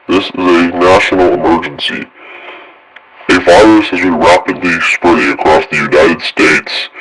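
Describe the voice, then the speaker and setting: A man playing a deep-voiced, grave emergency broadcast announcer. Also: poor audio. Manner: grave, halting, repetitive. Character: emergency alert broadcast announcer warning of deadly virus.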